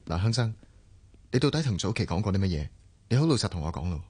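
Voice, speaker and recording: A man's voice: on the low side, big pitch swings, fast, a little mellow, long reverb tail. An actor playing a stubborn young man who defends his older lover, sullen and clipped, with a Hong Kong Cantonese accent. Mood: defensive. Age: young adult. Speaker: young IT worker in love. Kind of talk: 1990s radio drama acting.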